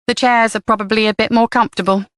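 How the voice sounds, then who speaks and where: A woman's voice: fairly high pitch, fairly even intonation, fast talker, a bit bright, dry recording, lightly acted. Polite head teacher, voiced by a woman, a little raised, dry read.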